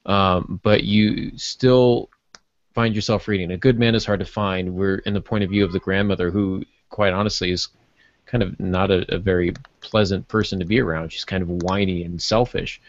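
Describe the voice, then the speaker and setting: A man talking through fiction writing as a podcast panelist in casual discussion, with a measured, halting delivery as he thinks aloud. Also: sits low, fairly even intonation, no echo, muffled recording. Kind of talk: literary discussion, casual chat.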